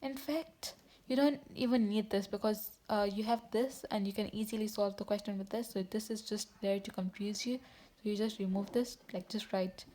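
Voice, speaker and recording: A woman's voice: medium pitch, steady tone, normal speed, warm tone. A woman from Tanzania, teaching math in a casual video. She talks straight to her viewers, correcting herself as she goes. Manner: chatty, self-correcting, talks to viewers directly. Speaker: math tutor.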